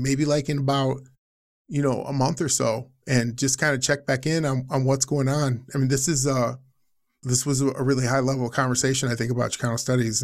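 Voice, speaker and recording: A man's voice: mid-range voice, creaky. Man, podcast host, earnest, rambling, laughs easily. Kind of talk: casual podcast conversation.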